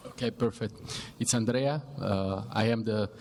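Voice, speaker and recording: Italian-accented man, low voice in a hall. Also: normal speed, darkish tone. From Italy. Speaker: panelist, chief information officer. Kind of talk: panel discussion, semi-formal. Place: event room before an audience. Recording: reverberant hall, faint background noise.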